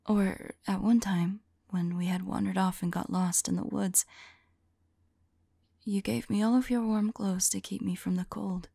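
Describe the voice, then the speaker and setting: A woman playing a tender, sorrowful vampire lover in an ASMR roleplay, speaking softly and intimately in a breathy, hesitant whisper. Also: mid-range voice, fairly even intonation, unhurried, dry recording. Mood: wistful, tender. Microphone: close microphone. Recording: close, dry microphone.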